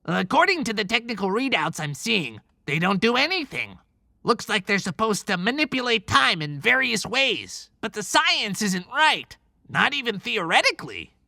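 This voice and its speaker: Snide, creaky little sidekick. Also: medium pitch, sing-song, normal speed, bright, forceful tone, no room sound, full character voice. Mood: snide, dismissive. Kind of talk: cinematic audiobook acting.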